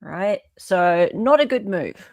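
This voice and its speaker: Australian female livestream host, mid-pitched, casual but emphatic, repeats key phrases, close dry sound. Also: sing-song, slow, dry recording. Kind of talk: casual live chat commentary.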